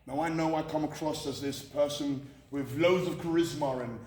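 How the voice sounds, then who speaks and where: A man's voice: on the high side, steady tone, moderate pace, darkish tone. A British man giving a candid, emphatic motivational talk to students, repeating phrases for effect. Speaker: motivational speaker at a college. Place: indoor room at a college. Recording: echoey room from far off, faint noise.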